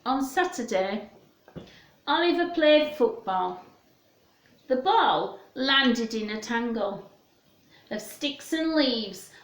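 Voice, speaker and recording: A woman storyteller reading expressively to young children, with gently questioning asides, in an echoey room. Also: fairly high pitch, slow pace, long pauses. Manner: animated, gently questioning, addressing small children.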